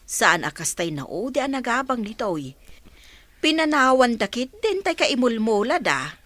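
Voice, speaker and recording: Lady doing chatty older ilocano wife, very lively intonation, radio comedy drama. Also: on the high side, normal speed, dry recording.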